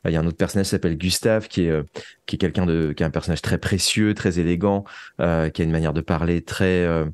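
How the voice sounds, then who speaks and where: A man's voice: deep voice, fairly even intonation, fast, darkish tone, smooth. A man speaking as an interview guest, a fiction writer answering conversationally and often searching for words and repeating himself.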